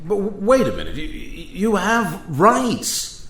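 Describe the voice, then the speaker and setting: A man's voice: sits high, wildly swooping pitch, slow pace, a bit bright, soft background music, exaggerated voice. A man playing an indignant, courtly founding father in a radio play, speaking formally and emphatically, with incredulity. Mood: stunned protest. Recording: roomy echo.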